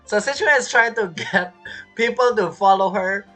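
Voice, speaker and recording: A woman's voice: mid-range voice, moderate pace, sharp tone, soft background music. A woman giving casual, amused and teasing commentary while playing a video game, often repeating words hesitantly. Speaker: gamer streamer.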